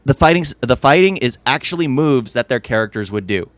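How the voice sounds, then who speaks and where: A man's voice: medium pitch, lively intonation, brisk, no echo. A man co-hosting a podcast in casual movie chat, enthusiastic about details and often repeating and rephrasing himself. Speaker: podcast co-host.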